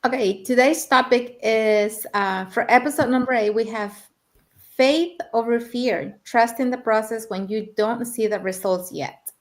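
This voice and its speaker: An older woman from Mexico takes her turn on an online panel and speaks plainly, often in lists. Her voice sits on the high side. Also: measured pace.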